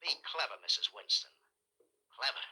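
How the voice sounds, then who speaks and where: An actor playing a brisk, businesslike schemer in an old-time radio thriller, giving clipped conspiratorial instructions through a phone-like filter. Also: male voice, medium pitch, lively intonation, heavy background noise. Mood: cool, brisk.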